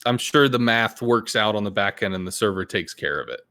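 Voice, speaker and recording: A man's voice: lively intonation, a little fast, sharp tone. Laid-back, cussing male podcast host. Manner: laid-back, profane, acts out quoted players in sudden high outbursts. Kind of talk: casual gaming chat.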